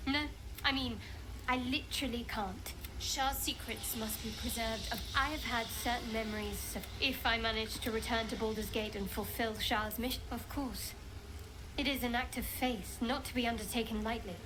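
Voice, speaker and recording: Fantasy game role: devout, guarded young female cleric, acted by a woman, fairly high voice, measured, with noticeable background ambience. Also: fairly even intonation, lightly acted. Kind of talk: dramatic fantasy game acting. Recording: clear background ambient noise.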